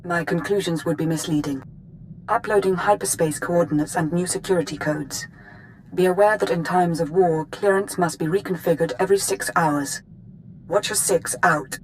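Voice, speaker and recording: An actress playing a formal, impersonal intelligence dispatcher in a video game, clipped and official. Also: female voice, lowish voice, moderate pace, slight background noise, natural voice, rough recording. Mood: formal, efficient.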